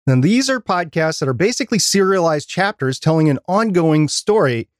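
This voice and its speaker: A man's voice: a little high, lively intonation, normal speed, dry recording, studio quality. A man co-hosting a podcast, presenting prepared segments and interview questions. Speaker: podcast co-host.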